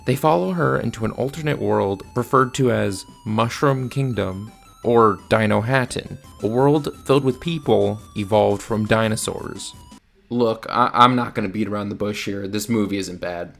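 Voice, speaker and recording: A man's voice: fairly low pitch, normal speed, vocal fry, faint music behind. A man narrating a scripted but conversational film review in an opinionated, matter-of-fact manner. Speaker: video essayist and film reviewer.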